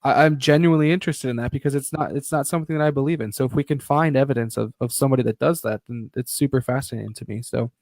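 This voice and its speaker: A man of average pitch co-hosts a podcast in loose live-stream chat. He stumbles and restarts his sentences and swears casually. His voice is recorded dry, with no room echo. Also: very fast, few pauses, darkish tone. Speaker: podcast co-host.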